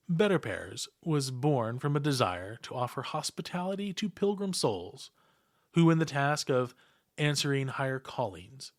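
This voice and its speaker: Male podcast host, mid-pitched and highly expressive, formal scripted delivery with deliberate emphasis. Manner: formal, ornate diction.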